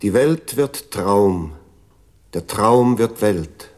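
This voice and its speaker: A man's voice: on the low side, expressive, drawn-out words, darkish tone. A man voicing a computer memory unit in a radio play, reciting solemnly and declaiming like a stage actor. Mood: solemn, declamatory.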